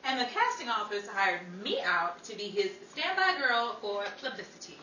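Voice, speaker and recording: A woman's voice: mid-range voice, sing-song, moderate pace, pushed and bright. A woman playing a scatterbrained, defiant young Hollywood hopeful in 1930s radio comedy, breezy and wisecracking. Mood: defiant, boastful. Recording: echoey room, distant mic, noisy audience.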